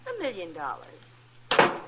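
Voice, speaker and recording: A woman's voice: fairly low pitch, huge pitch swings, slow, heavy background noise, heavily acted. An actress playing a cool, sardonic, defiant female secretary in 1940s radio acting style. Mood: guarded. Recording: muffled old radio recording.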